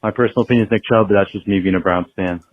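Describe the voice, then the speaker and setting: A man's voice: on the low side, fairly even intonation, very fast, no room sound. A teenage boy leaving a casual, upbeat voicemail question for a podcast. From Ohio, US. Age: teens. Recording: tinny phone-line voicemail.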